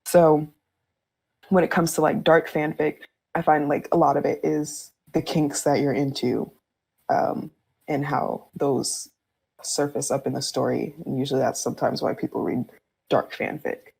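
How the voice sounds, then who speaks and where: Low, gravelly, husky young-sounding woman chatting casually. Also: level tone, a little slow, darkish tone. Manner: candid, informal phrasing. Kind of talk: casual chat, explaining personal views.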